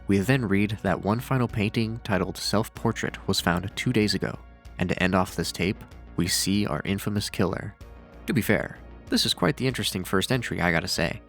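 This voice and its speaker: Low-voiced man narrating, dryly sardonic. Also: moderate pace, fairly bright tone. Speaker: video narrator and reviewer. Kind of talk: scripted horror series commentary with casual asides. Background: faint music.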